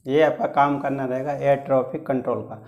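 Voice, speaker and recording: A man's voice: medium pitch, flat delivery, fairly quick, darkish tone, muffled recording. A man with an Indian accent explaining exam guidance as a coaching teacher, repeating and rephrasing his points in two languages. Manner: repeats and rephrases points, switching between Hindi and English.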